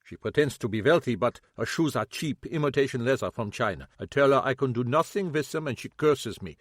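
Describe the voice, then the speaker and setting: A man voicing a gruff old German cobbler with broken English and a German accent. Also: mid-range voice, expressive, a little fast, no room sound, studio quality, lightly acted. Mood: prickly. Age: older. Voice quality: clipped broken English, prickly.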